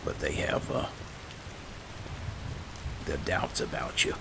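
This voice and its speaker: A man with a halting, low-key, informal way of talking, improvising in character as he hosts a roleplay gameplay session. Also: noisy background. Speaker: gaming host, roleplaying as a guild leader.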